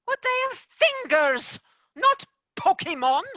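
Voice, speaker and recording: A woman's voice: very high voice, very animated, takes it slowly, bright, forceful tone, dry recording, muffled recording, heavily acted. A woman playing a weary, exasperated fairy boss. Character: stern older female fairy company boss. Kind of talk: amateur stage-play acting.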